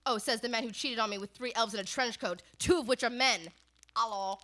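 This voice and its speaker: A woman's voice: on the high side, fairly quick, pushed and bright. A young woman playing a scornful, betrayed Mrs. Claus in comic radio theater, melodramatically indignant. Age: young adult. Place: small studio stage, indoors. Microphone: studio mic on stand.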